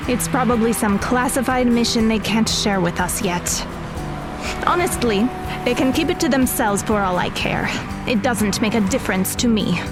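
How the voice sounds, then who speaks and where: A woman's voice: a little high, normal speed, close to an everyday voice. An actress playing a guarded, serious, bitter teenage girl in an audio drama. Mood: irritated. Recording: music behind the voice.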